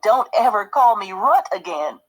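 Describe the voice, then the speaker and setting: A woman's voice: a little high, expressive, normal speed, creaky. A woman reading the part of a determined, stubborn young boy in a storybook, resolute and muttering. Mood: firm.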